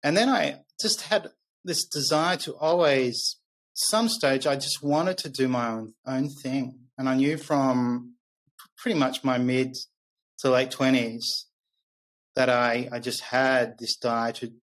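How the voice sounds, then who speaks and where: A man's voice: mid-range voice, steady tone, slow pace, a bit bright, rough recording. A middle-aged man with an Australian accent telling personal stories conversationally as a podcast guest, candid and reflective.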